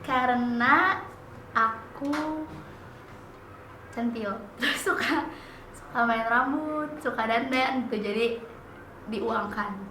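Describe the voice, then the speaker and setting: Young girl, higher voice, off-mic, roomy noisy space. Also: slow, pauses often, pushed and bright. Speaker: interviewee, student. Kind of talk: casual interview answers. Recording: distant echoey room, noisy background.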